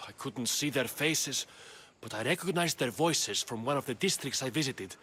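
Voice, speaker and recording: An actor playing a theatrical, indignant and easily frightened Athenian politician in a video game. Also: male voice, mid-range voice, expressive, normal speed, pushed and bright, a little hiss or hum. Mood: aggrieved. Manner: agitated, theatrical, wordy.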